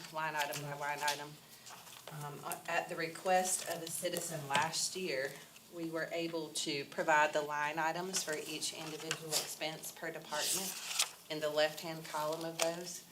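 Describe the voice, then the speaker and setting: Low-voiced woman with a head cold. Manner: measured, formal, with frequent ums. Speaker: county administrator presenting budget. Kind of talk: budget presentation at public workshop. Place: council meeting room. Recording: noisy public meeting recording.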